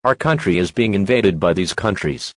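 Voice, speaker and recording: A man's voice: deep voice, animated, moderate pace. A man reading a scripted emergency broadcast announcement in a formal, impersonal manner.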